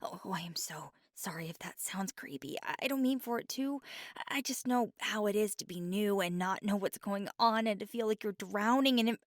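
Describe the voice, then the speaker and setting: A woman's voice: medium pitch, moderate pace, dry recording, natural voice. A woman playing a nervous, apologetic young woman with water powers in an ASMR roleplay, stammering and slipping into whispered asides. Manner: drops into soft whispered asides.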